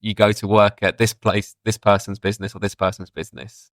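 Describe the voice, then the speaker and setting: A British man speaks in a fairly low voice as a guest on a podcast. He explains his thoughts reflectively and informally, in a dry, close recording. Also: flat delivery, a little fast, no echo. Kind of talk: casual discussion.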